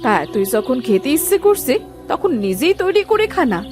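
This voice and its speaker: Woman acting a nagging village mother, cartoon dub, highish pitch, very animated, scolding, complaining, exasperated. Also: normal speed, soft background music. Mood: weary scolding. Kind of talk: Bengali moral-story cartoon dubbing.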